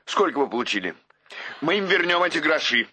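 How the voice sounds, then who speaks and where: Pushy male publisher, radio play, rapid salesman patter, sharp husky voice. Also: fairly high pitch, very animated, full character voice. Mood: pushy, persuasive. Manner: pressing, businesslike salesman patter. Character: brisk, pushy male publisher pitching a lucrative deal.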